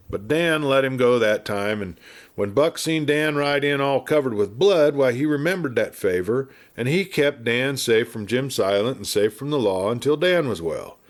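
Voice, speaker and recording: Man putting on a raised-pitch, rambling Western marshal. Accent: American Western drawl. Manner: folksy, rambling, blustery chatter. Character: garrulous good-natured irascible old-West marshal. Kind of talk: storybook character voice.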